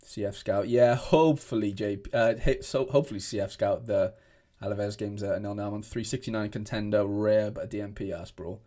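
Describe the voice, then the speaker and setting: A man's voice: on the low side, fairly even intonation, fairly quick, few pauses, mellow. A young man from Wales, chatting casually on a livestream at his desk, rambling with frequent restarts while answering viewer comments. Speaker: streamer. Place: indoor home room at a desk.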